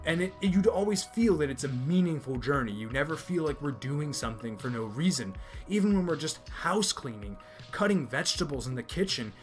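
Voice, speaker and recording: A young man from America reviews an anime series, emphatic and opinionated, circling back to restate his points. His voice sits at a medium pitch. A faint music bed plays underneath. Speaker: anime video host. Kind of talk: enthusiastic review commentary.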